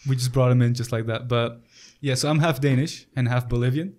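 A man's voice: mid-range voice, steady tone, a little fast, a little mellow. A young man from Italy hosting a casual podcast chat, laid-back, with loose fillers and frequent laughs. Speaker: podcast host.

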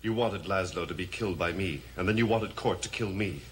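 An actor playing an intense, accusing heir of a cursed family in a theatrical gothic television soap. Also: male voice, low-pitched, fairly quick, a little hiss or hum, close to an everyday voice. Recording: old TV soundtrack, dramatic music underneath.

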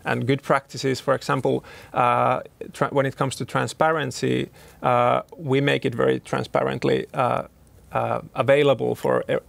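A man's voice: mid-range voice, little pitch movement, moderate pace, vocal fry, dry recording. A man in his thirties, a company CEO, answering panel questions in a hesitant way with many restarts and fillers. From Finland.

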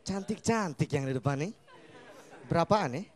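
A man's voice: expressive, moderate pace. A young man doing stand-up on a TV studio stage, teasing the audience on a handheld mic. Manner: teasing the audience, acting out quoted people in higher voices. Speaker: stand-up comedian. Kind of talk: stand-up comedy set. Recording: laughing studio crowd, background noise.

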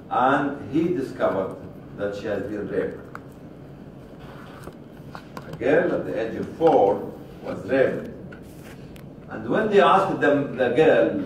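Older man in a very echoey room. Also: mid-range voice. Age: 60s. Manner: deliberate, with repetition and pauses for emphasis. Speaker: speaker telling a story to a seminar group. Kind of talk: spoken storytelling to an audience. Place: medium-sized indoor seminar room. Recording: distant, very echoey room recording with faint noise.

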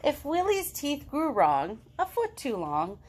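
A woman in her thirties reading aloud to young children as a storytime host, expressive and full of questions to draw them in. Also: high voice, a little slow. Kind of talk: animated picture-book read-aloud for young children. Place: living room at home.

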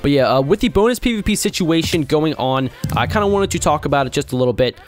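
A young man with a hoarse voice from a sore throat, chatting excitably through casual gameplay commentary. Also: fairly high pitch, fast talker, soft background music. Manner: chatty, excitable, talks to opponents. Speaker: gaming YouTuber.

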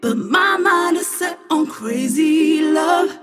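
A woman's voice: high-pitched, animated, takes it slowly. A woman singing a pop vocal line. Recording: long reverb with music.

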